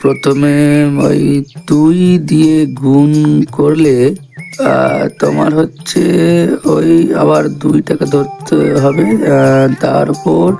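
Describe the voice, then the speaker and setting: A man's voice: on the high side, level tone, slow, gravelly, warm tone, faint music behind, exaggerated voice. A man voicing a dim, jealous, boastful henchman in a comic cartoon, quarrelsome and foul-mouthed. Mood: baffled. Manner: blustering, quarrelsome.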